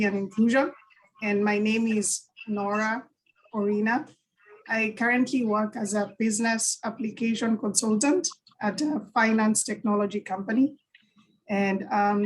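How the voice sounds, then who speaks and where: A woman's voice: medium pitch, poor audio. A young woman from Kenya, giving a story-driven talk as guest speaker at a virtual session, chatty with frequent ums and light laughs.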